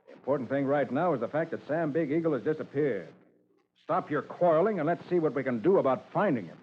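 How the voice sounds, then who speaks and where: A man's voice: on the high side, animated, quick pace, natural voice. An actor playing an authoritative, level-headed male private detective in an old-time radio drama. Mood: commanding. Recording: hissy, muffled old radio recording.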